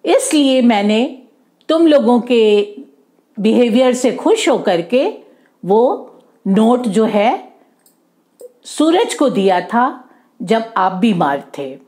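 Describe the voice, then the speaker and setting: A woman's voice: on the high side, big pitch swings, slow, long pauses, warm tone. A woman storyteller voicing a kindly male employer in a children's story, speaking earnestly, politely and explaining with care. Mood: earnest, explanatory.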